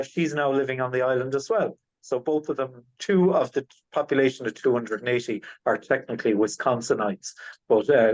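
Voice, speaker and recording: A man's voice: mid-range voice, poor audio. A man with an Irish accent, an Irish speaker from the west of Ireland, presenting as a tour guide in a rambling way full of fillers and restarts. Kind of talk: webinar presentation.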